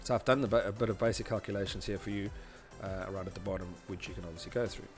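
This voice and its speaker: Low-voiced man explaining, music behind. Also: steady tone, racing. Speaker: presenter teaching audio engineering. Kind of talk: informal tutorial explanation. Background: background music.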